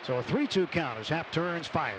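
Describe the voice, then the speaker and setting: A man's voice: a little high, background noise. A man doing live radio play-by-play of baseball as an announcer, in the manner of a broadcast from the ballpark. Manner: measured between plays, excited on big plays. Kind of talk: live radio sports commentary. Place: stadium broadcast booth.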